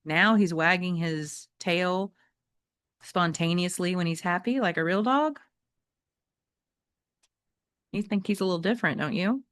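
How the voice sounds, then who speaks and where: A woman's voice: lowish voice, normal speed, a bit bright, no echo, studio quality. A woman teacher reading a children's novel aloud, with casual asides to her young listeners.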